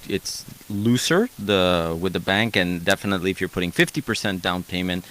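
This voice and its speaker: A man hosting a call-in radio advice show, talking in an energetic, emphatic way and checking in with "okay?". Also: deep voice, moderate pace, poor audio. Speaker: radio host, mortgage broker.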